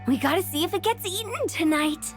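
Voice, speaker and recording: High-pitched quirky teenage girl. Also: animated, brisk, faint music behind. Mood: playful. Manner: casual, whimsical. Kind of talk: video game acting.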